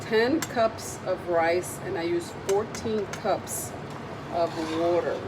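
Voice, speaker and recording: A woman with a raspy voice from a lingering cold, chatting casually as she demonstrates a recipe in her home kitchen. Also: on the low side, slow, noisy background. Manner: chatty, meandering, with frequent ums. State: recovering from a cold. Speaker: cooking video host.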